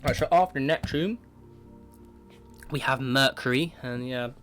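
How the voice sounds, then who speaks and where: A man with a cold talks through a space simulation game at a mid-range pitch. He keeps up a relaxed running commentary, often restarting with "right, so", and his throat sounds sore. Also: a little slow, soft background music. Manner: chatty, thinks aloud, often opens with "right, so". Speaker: gaming video creator.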